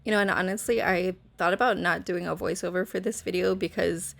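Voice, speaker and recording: Nervous rambling woman with a gravelly voice. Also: fairly low pitch, fairly even intonation, fairly quick. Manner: nervous, rambling, many fillers and restarts. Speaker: Sims speed build creator. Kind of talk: casual voice-over chat. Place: bedroom at home. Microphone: phone. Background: soft background music.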